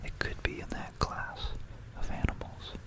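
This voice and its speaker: An ASMR creator whispering about history in a hushed, rambling, musing way, close into a stereo microphone. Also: moderate pace. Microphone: close stereo binaural microphone.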